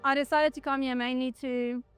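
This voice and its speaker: A woman racing cyclist talks in an earnest, reflective way, her voice sitting quite high. Soft music plays faintly underneath. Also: steady tone, fairly quick. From South Africa. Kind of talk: post-race interview.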